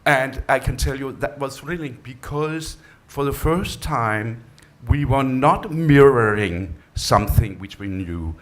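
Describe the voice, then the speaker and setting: A Danish man speaks in careful English that is not his first language. He lays each point down deliberately and leans hard on the key words. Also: medium pitch, expressive. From Denmark. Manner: deliberate, emphatic, with unusual word choices. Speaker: panelist, former television drama chief. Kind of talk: panel Q&A answer. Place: on stage before an audience.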